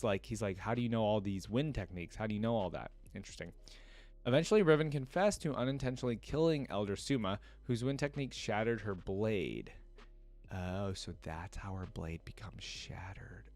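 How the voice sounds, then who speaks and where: A man hosting a casual reaction video, reading aloud and breaking off into informal, rambling asides. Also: on the low side. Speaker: reaction video host reading and commenting on game lore.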